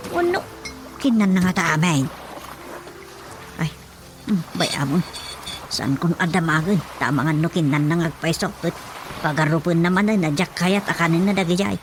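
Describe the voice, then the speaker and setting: A woman's voice: medium pitch, close to an everyday voice. A woman with a Filipino accent playing a nagging, suspicious village housewife in a radio comedy-drama, questioning and muttering to herself.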